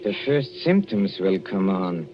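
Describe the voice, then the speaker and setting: Softly menacing, mocking jealous husband, muffled. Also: male voice, low voice, big pitch swings, unhurried. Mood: gloating menace. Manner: softly sardonic, hesitant, mock-affectionate. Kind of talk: 1940s radio suspense acting. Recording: noisy muffled old radio recording.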